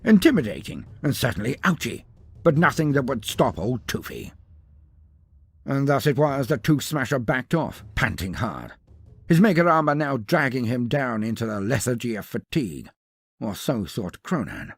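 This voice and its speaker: A man delivering dramatic scripted narration of a fantasy battle story, theatrical, with deliberate pauses and emphasis. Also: mid-range voice, sing-song, slightly rough, dry recording.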